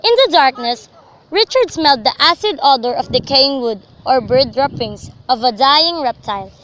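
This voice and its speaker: Young woman reading story narration for a radio play, high bright voice. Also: lively intonation, normal speed, rough recording.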